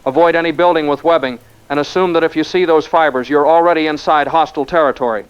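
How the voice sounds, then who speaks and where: A man's voice: on the high side, fairly even intonation, fast, clear, resonant tone, lightly acted. A man playing a grave, cautionary ham radio operator in a scripted apocalyptic drama, over a lo-fi radio feed. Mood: grave, warning.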